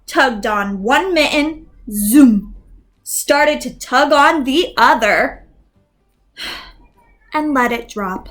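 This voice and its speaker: Slow, lively woman reading to kids. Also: high voice. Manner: slow, expressive, animated sound effects. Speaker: teacher. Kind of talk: read-aloud storytelling for young learners.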